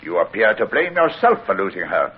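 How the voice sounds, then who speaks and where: A stern British naval captain in a vintage radio drama. He is curt and formal, with a husky voice and varied inflection. The recording is thin and phone-like. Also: lowish voice, big pitch swings, fairly quick. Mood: stern, measured. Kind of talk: old radio drama acting.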